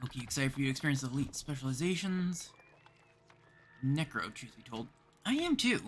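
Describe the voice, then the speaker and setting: A man's voice: mid-range voice, big pitch swings, a little fast, fairly bright tone, slight background noise. A man from Canada chatting casually as he livestreams a game.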